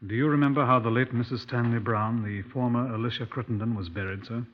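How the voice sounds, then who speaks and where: A British man playing a composed, probing police inspector in a 1950s radio drama, speaking formally and with measured care. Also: mid-range voice, steady tone, natural voice. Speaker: actor in a radio crime drama. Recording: dull, muffled 1950s radio recording.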